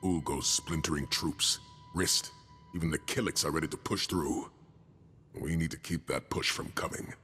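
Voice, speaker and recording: Gruff veteran colonel, very low voice, clipped and commanding, game dialogue over music. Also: male voice, steady tone, moderate pace, bright, forceful tone. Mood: grave, commanding. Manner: clipped, authoritative military delivery. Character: gruff seasoned male army colonel, stern strategic advisor. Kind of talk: video game voice acting. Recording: music behind the voice.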